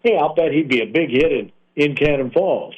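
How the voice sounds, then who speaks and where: A man's voice: fairly high pitch, moderate pace, darkish tone. A man hosting a casual radio interview, friendly with upbeat interjections. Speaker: radio host. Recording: dull AM radio broadcast sound.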